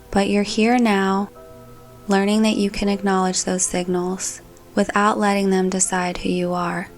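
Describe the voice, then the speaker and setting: Woman guiding meditation over music. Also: medium pitch. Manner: calm, gentle, soothing delivery. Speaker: meditation guide. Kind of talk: guided meditation narration, scripted. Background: background music.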